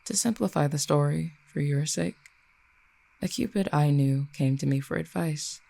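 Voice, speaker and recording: Casting: composed, dangerous exiled cupid for audio roleplay performed by an actor, eloquent and formal, dark creaky voice of medium pitch. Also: no room sound. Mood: calm, unrepentant. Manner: measured, formal, eloquent phrasing. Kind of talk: audio roleplay acting, addressed to listener.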